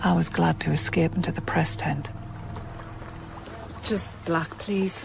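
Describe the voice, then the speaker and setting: A wry, down-to-earth woman journalist with a Northern English accent, pitched on the low side. She speaks briskly in radio drama dialogue and narration. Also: fairly even intonation, vocal fry, noisy background.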